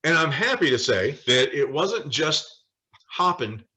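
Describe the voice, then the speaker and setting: A mature man leading a study group over a video call, lecturing informally and telling stories in an animated way. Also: on the high side, a little slow, rough recording. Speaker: Bible study leader. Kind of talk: informal lecture with personal anecdotes.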